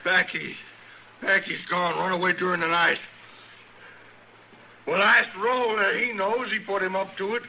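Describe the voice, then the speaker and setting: A bull-headed Old West father, blusters and accuses in a very sharp, high rural Western voice. He is all temper, in an old radio western with faint hiss. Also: fairly even intonation, unhurried, gravelly, long pauses, muffled recording, heavily acted. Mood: frantic, angry. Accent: American rural Western. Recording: hissy old radio recording.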